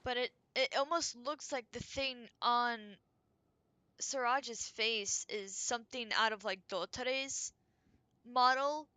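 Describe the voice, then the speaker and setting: A woman's voice: on the high side, fairly even intonation, slow pace, pushed and bright, clean tone, dry recording. A woman giving casual live gameplay commentary, musing and thinking aloud. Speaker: game streamer. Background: game music.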